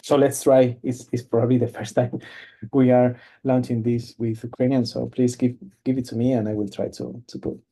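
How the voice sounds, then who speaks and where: Man, webinar presenter, very dark mellow voice, halting, video call. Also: medium pitch, little pitch movement. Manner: halting, many fillers and restarts. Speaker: cloud company presenter. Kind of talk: webinar product demo.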